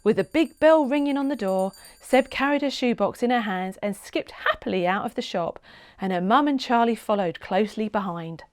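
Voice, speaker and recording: A woman reading a children's storybook aloud as an expressive read-along narrator, with dramatic emphasis. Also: fairly high pitch, normal speed.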